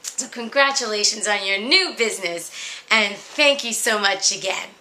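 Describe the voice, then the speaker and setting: A woman's voice: mid-range voice, lively intonation, moderate pace, sharp tone, slight background noise. A woman hosting a comedic mock interview, speaking politely and somewhat formally, with effusive courtesy. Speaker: show host, interviewer. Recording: roomy, echoey sound.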